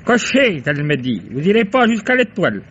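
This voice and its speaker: An actor playing a wary old Parisian cab driver in a radio drama, with speech, hesitant and rambling. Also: male voice, high voice, sing-song, a little fast, fairly bright tone, breathy edge, full character voice. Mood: rambling recollection. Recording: dull vintage radio recording.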